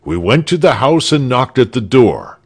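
A man's voice: mid-range voice, sing-song, normal speed, husky, voice pushed up. A man voicing an earnest, slightly indignant male village spokesman recounting a visit.

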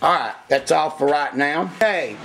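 A man with a gravelly, somewhat high voice talks through hands-on engine work at a workshop bench. He keeps it casual and plainspoken, the way a machinist explains his job in folksy shop talk. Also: animated, moderate pace, a bit bright, slight background noise.

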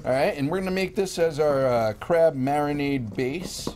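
A man's voice: a little high, moderate pace, noisy background. A middle-aged man, a restaurant chef, cooking on a morning TV kitchen set and explaining each step in an easygoing, enthusiastic way. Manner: easygoing, talks while cooking, enthusiastic about food. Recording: kitchen clatter of pans and utensils.

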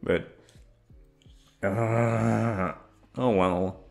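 A man's voice: lowish voice, fairly even intonation, very slow, creaky, a little mellow, faint music behind. A young man chatting informally to his listeners, meandering and swearing freely. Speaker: audio roleplay voice actor. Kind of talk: casual rambling chat to listeners.

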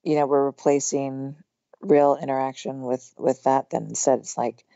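A woman in her thirties with a hoarse, strained voice, chatting casually as a podcast guest with lots of fillers. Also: a bass voice, steady tone, moderate pace, dark tone, no echo, lo-fi sound. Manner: chatty, rambling with many fillers. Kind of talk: casual conversation.

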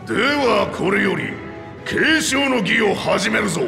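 A man's voice: sits high, animated, unhurried, creaky, full character voice. An actor voicing a boisterous old reptilian king in a video game, commanding and playful, with grandiose archaic speech. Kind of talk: theatrical video game voice acting. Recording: echoey hall reverb, music behind.

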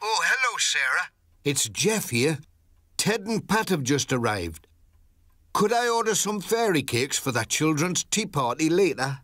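A man with a British accent playing a kindly, polite village schoolteacher in a children's cartoon, courteous and gently upbeat. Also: on the high side, very animated, a little slow, no room sound, full character voice. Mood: friendly.

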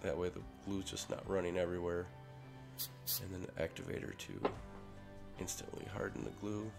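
A man with a head cold, casually talking through a workshop ring build in a relaxed way with fillers. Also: sits low, normal speed. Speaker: maker showing a ring build. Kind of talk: casual workshop tutorial voice-over. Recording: voice-over with clear music behind.

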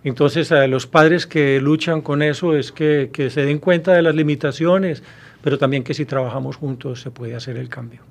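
A man in his fifties with a Colombian Spanish accent, a university professor answering interview questions, pausing often with hesitations and restarts, recorded on a studio mic. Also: fairly high pitch, steady tone, gravelly. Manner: thoughtful, many hesitations and restarts. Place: small studio with blue backdrop. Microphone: studio mic on boom arm.

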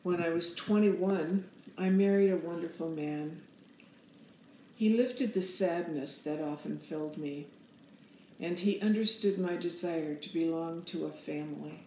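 A woman in her sixties narrating a storybook aloud in a measured, solemn manner. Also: lowish voice, darkish tone, echoey room, slight background noise, rough recording. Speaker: author reading own picture book aloud.

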